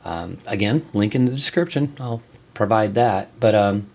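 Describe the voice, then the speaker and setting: A man with a fairly low voice hosts his own show in a casual monologue. He explains things informally to his viewers, feeling his way through sentences with a halting delivery. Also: lively intonation, vocal fry. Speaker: host of a channel on music reviews and tabletop games.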